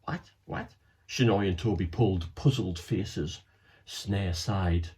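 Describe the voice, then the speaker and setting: A man's voice: low voice, slow pace, mellow. A man, a children's author reading his book aloud to young listeners, with chatty asides.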